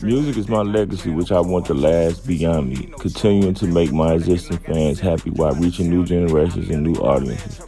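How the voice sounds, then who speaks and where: A relaxed man talks through a news story and his own opinions in a slow, easygoing drawl full of fillers. His voice sits very low with a rough, gravelly edge. Faint music plays under him. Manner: laid-back, drawling, with many fillers. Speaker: channel host. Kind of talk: casual commentary reading a news article.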